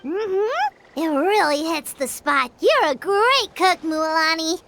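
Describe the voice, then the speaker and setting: A woman's voice: animated, slow pace, fairly bright tone, a little hiss or hum, full character voice. A woman voicing a tiny, chirpy female fairy companion who talks of herself in the third person, over game music. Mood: contented. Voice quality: very high, squeaky, childlike. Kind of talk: video game voice acting.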